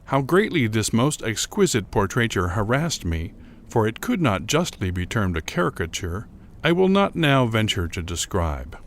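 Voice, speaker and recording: A male actor plays a troubled gentleman narrator of gothic horror, a man with a low voice that rises and falls widely. He recounts his past bitterly, in formal, deliberate phrases with a theatrical touch. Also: very animated, a little hiss or hum. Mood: brooding, aggrieved. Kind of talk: dramatic first-person reading of gothic horror fiction.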